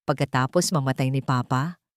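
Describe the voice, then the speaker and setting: A woman's voice: extremely low pitch, fairly quick, no echo, pitched below the performer's own voice. A woman voicing a grieving, polite young woman who seeks justice for her father in an audiobook drama, solemn and holding back emotion. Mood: resolute grief.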